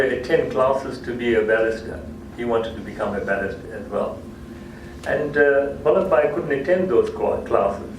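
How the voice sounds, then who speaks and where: A man whose first language is Gujarati tells an anecdote at a cultural talk, repeating and restarting phrases as he goes. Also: medium pitch. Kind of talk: storytelling lecture. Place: hall. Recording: echoey hall, distant mic, noisy.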